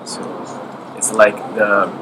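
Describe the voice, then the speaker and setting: A man's voice: on the low side, level tone, slow pace, a crowd in the background, rough recording. A young man from Brazil, a Portuguese speaker, answering interview questions eagerly with many fillers. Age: young adult. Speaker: exchange student. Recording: clear background noise.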